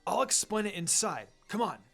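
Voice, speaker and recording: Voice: medium pitch, very animated, normal speed, gravelly, fairly bright tone, soft background music, heavily acted. A reader as eager young boy ninja, Naruto. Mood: sly confidence. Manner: brash, explaining eagerly.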